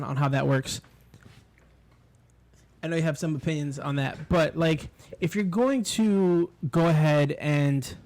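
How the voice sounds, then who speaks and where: Man from New York, podcast co-host, fairly high voice, opinionated, swears, rambling. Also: a little mellow. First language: English. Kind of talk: casual chat.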